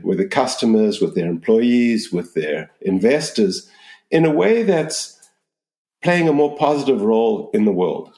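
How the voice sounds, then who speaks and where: A man in his sixties from Zimbabwe with a mixed Southern African accent, answering interview questions in a measured, explanatory way. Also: mid-range voice, darkish tone, reverberant. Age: 60s. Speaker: business school dean, interview guest.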